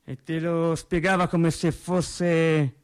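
An actor playing a bitter, sardonic factory worker in a theatrical radio monologue, speaking emphatically and colloquially. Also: male voice, a little high, flat delivery, clean tone. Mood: sarcastic, indignant. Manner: emphatic, colloquial, sarcastic, with deliberate repetitions. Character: bitter, sardonic Italian factory worker recounting workplace deaths. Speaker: stage actor.

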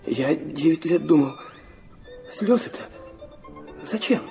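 A wounded young son in a radio play, his voice high and swinging widely. He speaks with halting fervour, repeating himself as he pours out old memories. Violin music plays strongly behind him. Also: lively intonation, creaky, dark tone, full character voice. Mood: bitter, impassioned. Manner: fervent, halting, breaking into repetitions. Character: wounded, impassioned young illegitimate son recalling childhood. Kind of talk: Russian radio drama acting.

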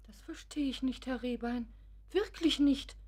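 A woman's voice: high voice, measured pace, darkish tone, husky. An actress playing a sentimental, timid woman devoted to her neighbour in a German radio drama, earnest, anxious and tender. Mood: earnest, wistful.

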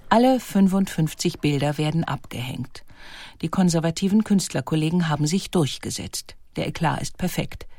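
A woman reading scripted documentary narration, articulate and deliberate, with emphatic storytelling. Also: fairly low pitch, a little mellow, dry recording.

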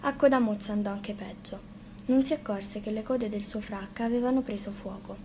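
A woman's voice: medium pitch, normal speed, warm tone. A woman narrating a scripted fairy tale as a storyteller. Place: radio studio. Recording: faint background noise.

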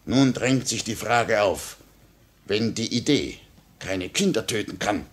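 An actor playing a smug, cynical police chief in a 1950 radio play, suave and sardonic, coaxing and mocking in a measured theatrical way. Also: male voice, fairly low pitch, lively intonation. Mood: insinuating.

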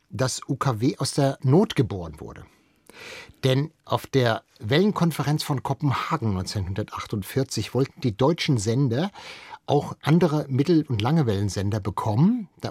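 Older man explaining, slightly husky. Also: medium pitch, a little mellow, dry recording, clean studio sound. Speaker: radio veteran interviewed as expert. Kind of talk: conversational explaining.

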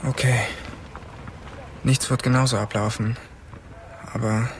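An actor playing a bitter, isolated teenage survivor thinking aloud in a German radio drama. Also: male voice, medium pitch, level tone, a little slow, noisy background, lightly acted. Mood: anxious resolve.

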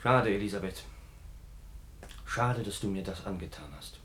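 A man's voice: sits low, moderate pace, a bit bright, a little hiss or hum. An actor playing a naive young policeman in his twenties in a radio play, earnest, polite and hesitant. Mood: hurt, reproachful. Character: deferential 24-year-old policeman, naive earnest fiancé. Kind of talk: German radio play acting.

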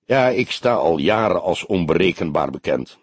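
Man acting a husky, wry old police detective. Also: sits low, expressive, dry recording, voice pushed down. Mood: probing. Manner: measured, probing, dryly mocking. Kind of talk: audiobook character dialogue.

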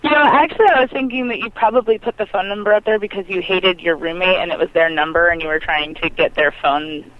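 A woman's voice: on the low side, quick pace, hardly any pauses, lo-fi sound. A woman calling in to a phone show, chatting casually. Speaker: caller.

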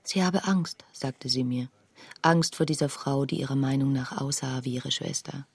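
An actress playing a woman who soberly relays her friend's story in a radio play, in a measured storytelling manner. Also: extremely low pitch, steady tone, creaky, darkish tone, slightly rough, no room sound, full character voice. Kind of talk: literary radio play narration.

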